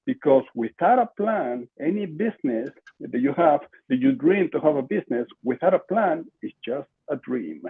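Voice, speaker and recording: A man's voice: a little high, expressive, moderate pace, hardly any pauses, husky. An older man from Peru with a Peruvian Spanish accent, talking conversationally as an interview guest with emphatic repetition, over a video call. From Lima, Peru. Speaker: podcast guest, marketing entrepreneur. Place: small room at home, indoors. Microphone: video call, computer mic at desk distance. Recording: muffled, lo-fi video call audio.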